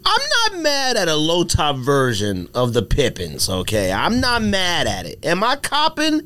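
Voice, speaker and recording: Animated American man, teasing and argumentative. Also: on the high side, unhurried. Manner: teasing, argumentative, repeats phrases for emphasis. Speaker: podcast co-host. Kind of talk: casual sneaker and sports banter.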